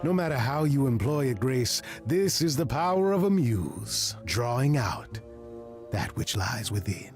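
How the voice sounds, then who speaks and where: An actor voicing a sly, charming trickster god in a musical video game, with a suave, flowery, teasing delivery. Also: male voice, mid-range voice, very animated, unhurried, over music. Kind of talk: musical video game acting, spoken and sung.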